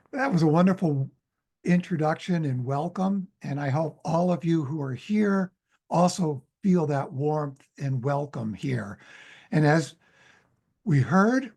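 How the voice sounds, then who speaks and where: An older man opening his talk as the webinar speaker, speaking slowly and warmly. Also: a little high, vocal fry, husky. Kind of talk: casual spoken talk.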